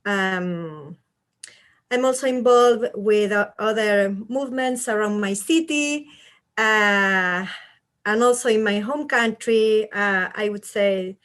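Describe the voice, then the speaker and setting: Slow Chilean-accented woman organizer. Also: mid-range voice, a bit bright. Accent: Chilean Spanish. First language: Spanish. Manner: slow and halting, frequent "uh" fillers. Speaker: panelist, community organizer. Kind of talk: self-introduction on a panel.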